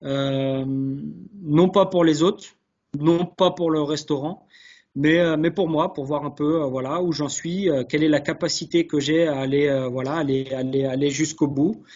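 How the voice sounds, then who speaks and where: A man's voice: on the high side, steady tone, normal speed, darkish tone. A man answering interview questions earnestly, hesitating and repeating words as he searches, on dull webinar audio. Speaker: guest, chef sommelier. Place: online video call.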